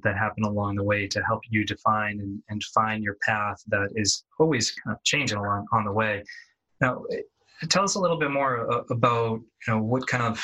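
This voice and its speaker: A man hosting an interview in a conversational style, speaking haltingly and repeating words as he forms his questions. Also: fairly low pitch, pushed and bright, low-quality recording. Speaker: interview host.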